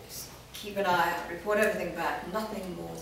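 A woman's voice: fairly low pitch, fairly even intonation, fairly quick, gravelly. A woman in a staged reading playing an imperious, impatient vampire master with a commanding, exasperated manner. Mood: weary command. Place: indoor performance room, seated before an audience. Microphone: far camera. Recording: distant mic, echoey room, faint noise.

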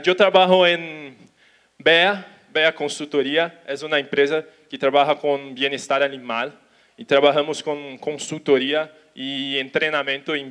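A young man from Brazil with a Portuguese accent, giving a conference talk in halting Spanish on an auditorium stage, talking slowly with restarts. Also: on the high side, fairly bright tone, clean tone. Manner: slow, careful, with restarts and Portuguese words. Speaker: conference speaker. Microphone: handheld mic.

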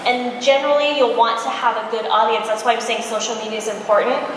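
An American woman giving an informal, chatty conference presentation with lively anecdotes, speaking into a handheld mic on stage. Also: fairly high pitch, quick pace. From Michigan, United States. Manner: chatty, animated storytelling with acted-out quotes. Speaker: entrepreneur speaker, cosmetics brand founder. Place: large indoor expo conference room, on stage. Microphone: handheld microphone through PA, recorded from audience. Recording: very echoey hall, faint background noise.

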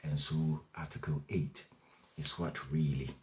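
A man in his forties explains a legal convention to a webcam in a small room at home, reading clauses aloud and adding measured comments with frequent fillers and restarts. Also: a bass voice, flat delivery, darkish tone. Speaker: legal educator. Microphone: webcam at desk distance.

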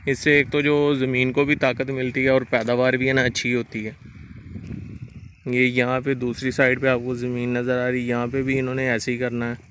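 A man's voice: medium pitch, monotone, normal speed, fairly bright tone, slight background noise. A man with a Pakistani Punjabi accent narrating a casual vlog outdoors on a farm field and chatting with a farmer. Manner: chatty, friendly questioning. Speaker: vlogger.

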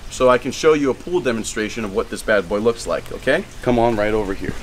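A young man hosting a fishing channel, chatting casually to viewers outdoors and getting excited as he fishes. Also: mid-range voice, fast talker, slight background noise, poor audio. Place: outdoors by a lake and a pool.

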